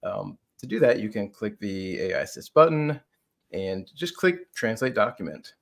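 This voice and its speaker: Lively, gravelly lowish-voiced man. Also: normal speed. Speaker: software demo co-presenter. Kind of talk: conversational product demo explanation. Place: indoors on a video call.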